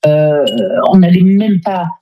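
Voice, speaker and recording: A woman's voice: on the low side, slow pace, muffled recording, slight background noise. A woman speaking as a guest in a conversational radio interview, hesitantly searching for her words. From Democratic Republic of the Congo. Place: radio studio.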